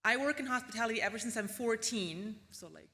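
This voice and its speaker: Woman, keynote speaker, bright clear tone, relaxed chatty delivery full of ums. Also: fairly high pitch, fast talker. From Austria. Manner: casual, chatty delivery with frequent ums and tag questions. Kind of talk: conference keynote presentation.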